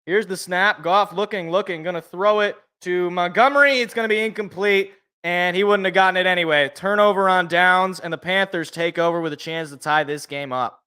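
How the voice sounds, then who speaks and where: A young man calling a football game play-by-play from a sports podcast, casual, with a slightly rough, sniffly voice from allergies. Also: sits high, a little fast, hardly any pauses. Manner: excited calls, casual asides. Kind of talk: live play-by-play commentary.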